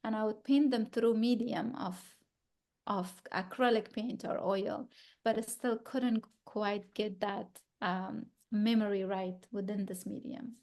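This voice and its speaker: Woman artist from Afghanistan, thirties, gallery talk, thoughtful and halting with restarts, mid-pitched voice. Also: fairly even intonation, a little mellow. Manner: thoughtful, searching for words, frequent restarts. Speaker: exhibiting artist.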